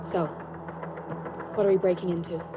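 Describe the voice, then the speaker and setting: An actress as a low-voiced, cynical woman hacker. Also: flat delivery, normal speed, noisy background, lightly acted. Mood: wary, exasperated. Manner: wry, informal, blunt. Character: tough, cynical, streetwise young woman hacker. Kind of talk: cinematic video game acting.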